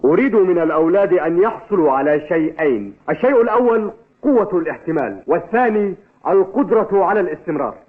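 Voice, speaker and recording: An actor playing a stern, demanding sports coach in an Arabic cartoon dub, with a commanding manner. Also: male voice, high voice, expressive, fast. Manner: commanding, barking orders. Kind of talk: dubbed cartoon acting in formal Arabic. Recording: tinny, noisy old TV dub.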